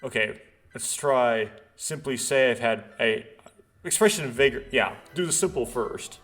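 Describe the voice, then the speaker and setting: A man doing a casual let's play, haltingly thinking aloud and reading visual novel text out. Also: medium pitch, expressive, a bit bright. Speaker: let's player. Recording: background music behind voice. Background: game music.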